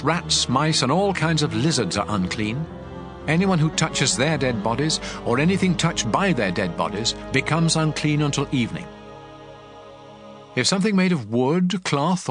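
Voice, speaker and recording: A man's voice: medium pitch, close to an everyday voice. A man as a solemn, commanding God with sweeping intonation. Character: God speaking law, solemn, commanding male deity. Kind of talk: dramatized scripture reading. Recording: voice over a music bed.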